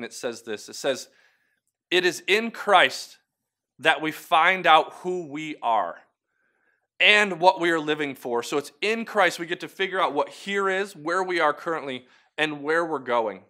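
Bright-voiced earnest male devotional host. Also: fairly high pitch, a little slow. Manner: earnest, encouraging, conversational with asides. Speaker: host of a weekly video devotional. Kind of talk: short devotional talk to camera.

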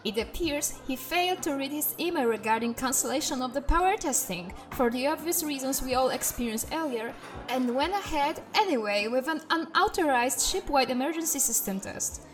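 A woman's voice: high voice, animated, moderate pace. A woman playing a wry, teasing spaceship systems tech turned interim commander in a sci-fi comedy audio drama. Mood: smug, gossipy.

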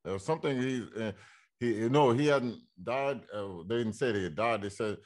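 A man hosting a casual interview, speaking hesitantly with frequent pauses and restarts as he works toward his questions. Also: medium pitch, a little mellow. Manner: hesitant, halting, many fillers and restarts. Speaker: interview host.

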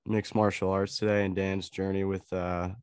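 A young man hosting a podcast, sounding congested from a cold, chatty and relaxed, laughing often. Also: sits low, moderate pace, clean tone, dry recording, clean studio sound. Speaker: podcast host. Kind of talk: casual podcast chat.

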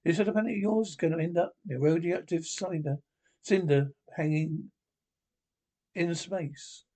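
A whimsical male time traveller with dry wit, as a man reads him, in a mellow, husky voice. His delivery stays wry and genteel. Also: on the high side, normal speed.

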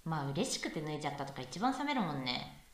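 Woman acting a lively student, echoey and distant. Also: sits low, quick pace. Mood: musing, teasing. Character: playful, pushy young female university student. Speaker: voice actress in amateur radio drama. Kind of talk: conversational radio drama dialogue. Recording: echoey room, far from mic.

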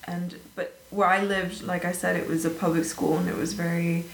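A woman chatting casually as a guest, telling animated stories about her teaching days and laughing easily. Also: fairly low pitch, moderate pace, creaky. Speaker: guest, former English teacher. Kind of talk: casual conversational storytelling. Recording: echoey room with faint noise.

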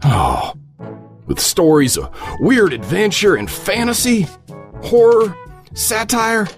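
An actor playing a hammy, folksy dad in a parody retro radio advertisement. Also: male voice, high-pitched, big pitch swings, slow pace, faint music behind, full character voice. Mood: hokey nostalgia. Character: corny, wholesome-sounding dad with pulp nostalgia.

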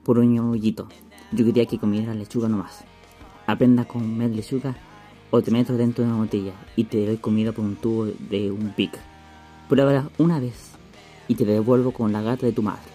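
A man's voice: medium pitch, warm tone, natural voice. A Chilean man playing a stubborn, menacing, slangy schoolboy in a radio play, speaking bluntly. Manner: colloquial, blunt.

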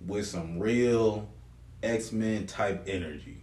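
A man's voice: lowish voice, slow, darkish tone. A man telling a story in casual chat, blunt and crude, swearing freely. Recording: echoey room.

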